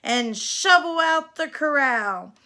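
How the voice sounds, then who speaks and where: A woman voicing a mean, bossy ranch stepmother who yells out drawn-out orders in a storybook tale. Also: high voice, animated, heavily acted. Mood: yelling, bossy.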